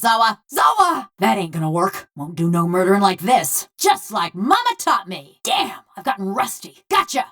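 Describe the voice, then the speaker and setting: A woman's voice: a little high, fairly bright tone. A woman voicing a wry, vengeful female machine of brass in a video game, with a folksy, drawling American accent. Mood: fierce. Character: sardonic, vengeful female construct of brass, a killer. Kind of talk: video game character voice lines.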